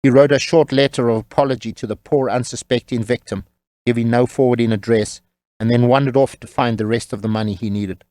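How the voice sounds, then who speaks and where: A man's voice: mid-range voice, steady tone, fairly quick, darkish tone, no echo. A man narrating a scripted comic tale as a wry, tongue-in-cheek storyteller.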